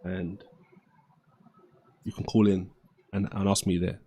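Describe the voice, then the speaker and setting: A man's voice: deep voice, flat delivery, slow, mellow, husky. A man hosting a live call-in debate stream, blunt and pressing with his questions, often repeating himself. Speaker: livestream debate host.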